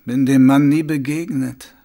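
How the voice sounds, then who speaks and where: A man voicing a dazed, weary middle-aged watchman in an audiobook, halting and muttering as he talks. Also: mid-range voice, steady tone, gravelly, warm tone. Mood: absent-minded. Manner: halting, muttering, absent-minded.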